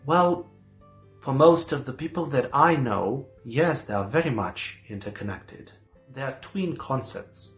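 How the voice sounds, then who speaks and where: A young man from Romania in his twenties gives measured, reflective answers to an interviewer. A quiet guitar plays softly behind his voice. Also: medium pitch, expressive. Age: 20s.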